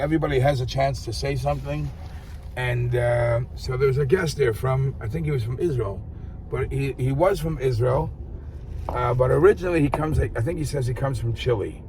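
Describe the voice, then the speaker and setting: A man giving an informal Torah talk, telling a story with many halting restarts and fillers. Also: medium pitch, darkish tone, a little hiss or hum. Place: outdoors in an early-morning street.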